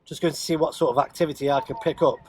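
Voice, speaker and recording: A man's voice: a little high, fairly even intonation, racing. A man chatting casually and unscripted as he demonstrates radio gear, hesitant and thinking aloud as he goes. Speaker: radio hobbyist vlogger demonstrating CB radio. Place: inside a car. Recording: faint vehicle noise behind the voice.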